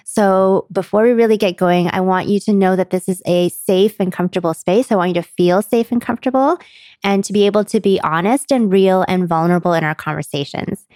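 A woman's voice: mid-range voice, fairly quick, a little mellow, no room sound, studio quality. A woman hosting a podcast interview, speaking in an earnest, welcoming way. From China. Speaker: podcast host.

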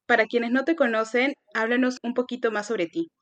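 A woman's voice: fairly high pitch, fairly even intonation, moderate pace, a bit bright, dry recording. A woman in her twenties hosting a student podcast, reading polite scripted introductions and asking questions. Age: 20s.

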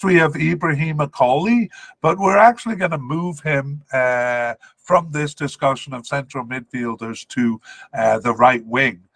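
A man's voice: mid-range voice, fairly bright tone, dry recording. Man from Wales, dry close sound. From Cardiff, Wales. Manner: measured, hesitant, methodical. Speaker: sports podcast host. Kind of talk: informal player-by-player squad analysis.

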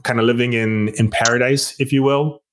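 A man hosting a podcast interview, relaxed and encouraging, murmuring along while his guest talks. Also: medium pitch, brisk, a little hiss or hum. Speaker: podcast host.

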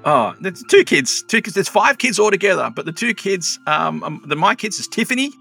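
A man's voice: high-pitched, sing-song, fairly quick, vocal fry, sharp tone, faint music behind. A man playing a flustered, rambling town crier who keeps oversharing about his wife leaving. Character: flustered middle-aged male town crier, abandoned husband. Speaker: game master voicing a townsperson. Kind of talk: improvised tabletop roleplay.

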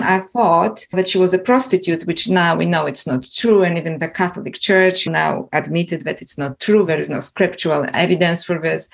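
A woman with a Polish accent, an author and scholar answering interview questions in a thoughtful, earnest way. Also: medium pitch. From Poland. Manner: thoughtful, earnest, with some restarts.

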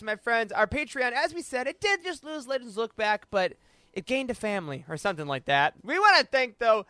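A man's voice: sits high, sharp tone, no echo. A man co-hosting a podcast in a casual, enthusiastic and playful way. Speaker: podcast co-host. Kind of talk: casual podcast hosting and reading out a listener's letter.

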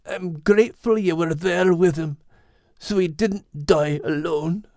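A man plays a loyal male storybook dog. The voice is raised in pitch and dry, humble and heartfelt in its grief. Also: a little slow, a little mellow, dry recording. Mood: grieving, grateful. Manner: subdued, heartfelt. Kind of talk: audiobook character voice.

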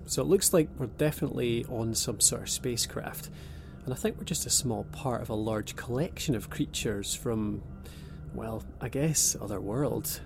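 A man playing a wry, gravelly young abductee. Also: mid-range voice, moderate pace, noisy background, natural voice. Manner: dry, sardonic humour. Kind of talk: audio drama acting. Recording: music score underneath.

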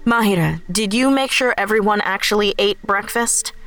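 Actress as woman in command, brisk, careful, medium pitch, audio drama, faint music. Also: a bit bright, natural voice. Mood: focused, concerned. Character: brisk, careful female leader of a covert team.

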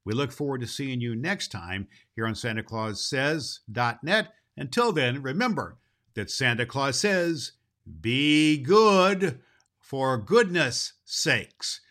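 Kindly old Santa Claus acted by a man, children's show host, folksy and rambling, bright voice with very lively pitch. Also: slow pace. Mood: cheerful, promotional. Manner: folksy, rambling, emphatic, repeats himself.

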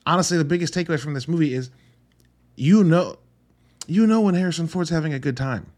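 A man's voice: a little high, fairly quick, a little mellow. A man hosting a casual solo review podcast, talking informally and earnestly, often interrupting himself and rambling with emphasis. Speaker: podcast host reviewing films.

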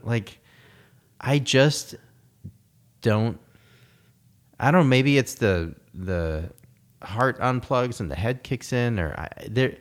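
A man's voice: mid-range voice, expressive, takes it slowly, pauses often. A man talking in a casual conversation, reflective and rambling, with many fillers and restarts. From United States.

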